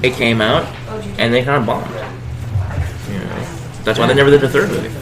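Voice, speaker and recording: A man's voice: mid-range voice, normal speed. A man co-hosting a casual chat show, talking loosely with many fillers and restarts. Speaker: podcast co-host.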